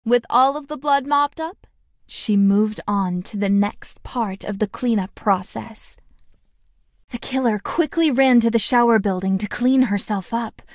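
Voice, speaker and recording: A woman voicing a cheerful, friendly schoolgirl in a video game, speaking in a sweet, gentle and deliberate way. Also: a little high, no echo, clean studio sound, natural voice. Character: cheerful, friendly anime schoolgirl narrating a play. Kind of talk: game tutorial voice acting, storytelling.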